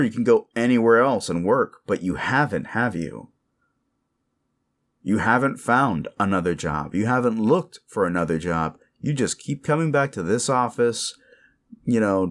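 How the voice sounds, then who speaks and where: A man playing a sleazy, bullying werewolf office boss in an audio roleplay, condescending, cajoling and foul-mouthed as he berates the listener. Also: on the low side. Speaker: voice actor performing a roleplay.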